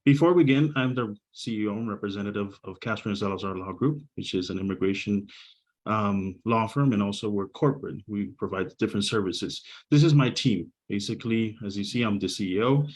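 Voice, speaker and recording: A man with a fairly low voice presents as a lawyer. His delivery is informal yet professional, like a guide talking through slides. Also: fairly quick.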